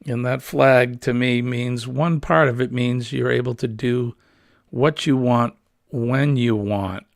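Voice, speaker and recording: Man, livestream host; husky, smoky, a bit gravelly; easygoing and rambling with many fillers; dry, close computer-mic sound. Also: mid-range voice, slow, no room sound. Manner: relaxed, rambling, frequent ums and uhs. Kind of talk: casual livestream chat reading viewer comments. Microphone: built-in computer webcam mic.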